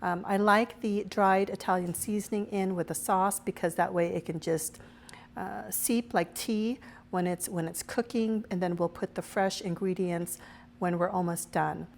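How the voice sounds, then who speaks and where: Lady cooking show host, bit raspy from a cold, chatty, walks you thru every step. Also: medium pitch, fairly even intonation, unhurried. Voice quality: slightly raspy. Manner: chatty, explains each step as she goes. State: recovering from a cold. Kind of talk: casual cooking demonstration.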